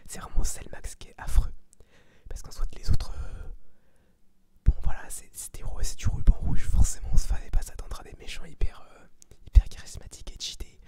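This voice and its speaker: An eighteen-year-old man whispering a casual, opinionated ASMR review in slangy, crude, informal language. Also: fairly quick. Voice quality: breathy unvoiced whisper. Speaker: ASMR video creator giving a film review.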